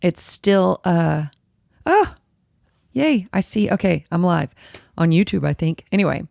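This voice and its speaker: A woman hosting her own casual podcast, a little hoarse, chatty and rambling as she interrupts herself. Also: big pitch swings, a little slow, darkish tone, dry recording, clean studio sound. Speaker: podcast host. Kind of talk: casual solo podcast chat, live-streamed. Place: home room with dogs. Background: dogs and a squeaky toy at times.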